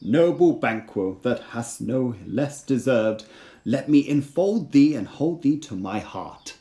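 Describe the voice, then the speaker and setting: A man reading as a gracious, warm-hearted king in a storybook, with grand old-fashioned welcoming declamation. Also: medium pitch, animated, takes it slowly, roomy sound. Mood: warm welcome.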